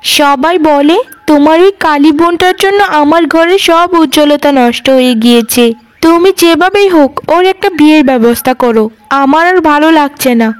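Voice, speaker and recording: A woman's voice: high-pitched, normal speed, natural voice. A woman voicing a cruel, scornful sister-in-law in a cartoon, nagging and taunting sharply, with music underneath. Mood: aggrieved. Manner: sharp-tongued scolding, taunting. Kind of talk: animated cartoon drama voice.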